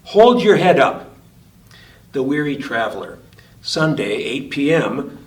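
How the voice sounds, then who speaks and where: A man reads his own written essay aloud, pacing it slowly and deliberately. His voice sits at a middle pitch. The sound comes from some distance off the mic, with a roomy echo around it. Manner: slow, measured, deliberate reading cadence. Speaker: physician and author.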